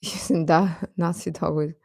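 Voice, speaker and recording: A woman hosting a livestream, giving casual fan commentary to her chat in a rambling, halting, emphatic way that repeats points. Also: on the low side, fairly even intonation, vocal fry, dark tone, no room sound, studio quality. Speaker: livestream host.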